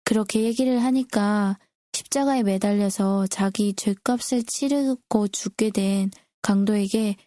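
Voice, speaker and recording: Mellow-voiced woman in a Bible discussion. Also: mid-range voice, unhurried, hardly any pauses, dry recording. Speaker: co-host discussing scripture with a pastor. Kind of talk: scripted-sounding Bible discussion.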